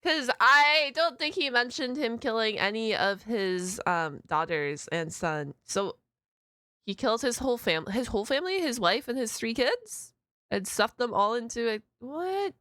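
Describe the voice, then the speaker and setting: A woman's voice: on the high side, expressive, a little slow, pushed and bright, dry recording, clean studio sound. A woman hosting a reaction video in a casual, chatty way, exclaiming and musing aloud in informal slang.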